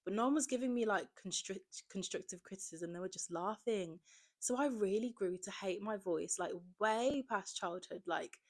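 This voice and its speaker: A woman's voice: medium pitch, normal speed, darkish tone. A woman, talking candidly in a casual confessional monologue, often saying "like". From Birmingham area, England. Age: 20s. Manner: candid, conversational, heavy use of "like". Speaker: podcast host.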